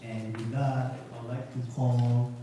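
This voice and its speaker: A man's voice: medium pitch, little pitch movement, slow, noisy background. A man leading a club meeting, calling up speakers and cracking jokes between announcements. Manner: loose, joking emcee with fillers. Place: large echoey meeting room. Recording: echoey hall, distant mic, crowd.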